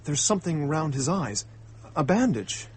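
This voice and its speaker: A man's voice: a little high, sing-song, normal speed, slightly rough. An actor playing an earnest, worried young man caught up in a mystery in a radio drama, speaking with urgency. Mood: tense, grim.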